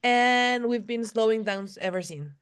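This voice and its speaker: Woman host with a slightly high voice. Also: normal speed, fairly bright tone, clear, resonant tone. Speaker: roundtable host. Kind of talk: casual video call roundtable.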